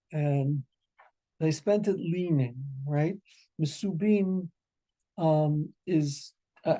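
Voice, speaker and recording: A man's voice: on the high side, warm tone, breathy edge, rough recording. A man teaching an informal Torah class as a rabbi, talking conversationally and animatedly, often checking in with "right?".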